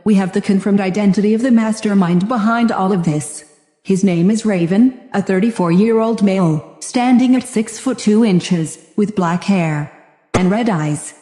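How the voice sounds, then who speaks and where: A synthetic-sounding woman's voice playing a grave, formal government official delivering a scripted emergency briefing in a measured way. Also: mid-range voice, warm tone, natural voice. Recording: echoey hall reverb.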